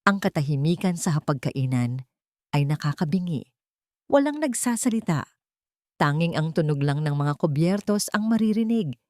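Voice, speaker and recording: A woman narrates a dramatic story in a low voice. Her phrasing is measured and suspenseful, broken by short pauses. The recording is dry and close. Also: animated, darkish tone, no echo.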